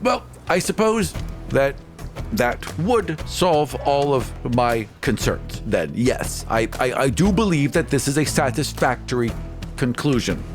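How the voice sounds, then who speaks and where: A pompous little gentleman of the sea , acted by a man for a tabletop game. He is stubborn and proud, and his speech is formal and haughty. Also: mid-range voice, sing-song, a little slow, soft background music. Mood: stiffly formal.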